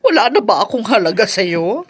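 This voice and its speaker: A woman's voice: medium pitch, very animated, normal speed, slightly rough. A Filipino woman acting as a clingy, guilt-tripping aging mother in a radio drama, wheedling and reproachful. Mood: hurt, reproachful.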